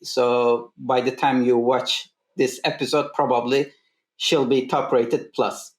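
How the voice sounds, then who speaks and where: Brief: male podcast host, mid-pitched, measured questions, roomy sound. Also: level tone. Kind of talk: hosting an interview. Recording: echoey room.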